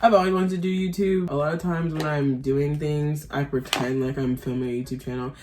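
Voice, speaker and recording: A woman's voice: low-pitched, steady tone, fairly quick, music in the background. A nineteen-year-old woman vlogger chatting casually while her makeup is done, rambling and giggly with lots of fillers. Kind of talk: casual chat.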